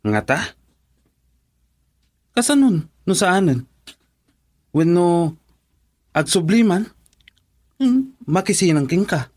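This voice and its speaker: Slow-talking, higher-voiced blunt buddy. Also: male voice, sing-song, a little mellow, full character voice. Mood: frank, nudging. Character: frank male buddy giving blunt advice. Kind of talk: Ilocano radio drama acting.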